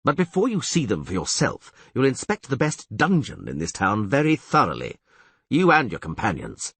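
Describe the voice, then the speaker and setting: A man voicing a blustering, panicky middle-aged male town mayor in a fantasy audiobook, shouting and frantic. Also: mid-range voice, huge pitch swings, moderate pace, slightly rough, dry recording, exaggerated voice. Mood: stern, mocking.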